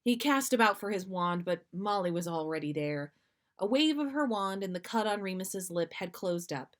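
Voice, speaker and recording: A woman's voice: medium pitch, expressive, moderate pace. Female podcast storyteller, mid-range voice, narrating fiction read from text. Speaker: podcast host reading listeners' stories. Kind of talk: storytelling, reading fiction aloud.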